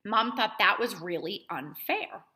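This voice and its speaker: A woman's voice: mid-range voice, lively intonation, measured pace, pushed and bright. A woman reading a children's novel aloud, narrating expressively in the first person as a child. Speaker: storybook reader narrating as a child.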